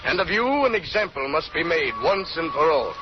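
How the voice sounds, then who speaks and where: An actor playing a stern, unforgiving judge, solemn and formal, in declamatory old radio drama style. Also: male voice, on the high side, very animated, normal speed. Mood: stern condemnation. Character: stern, unforgiving male judge passing sentence. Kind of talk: 1930s-40s radio drama acting. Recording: muffled old radio recording with music.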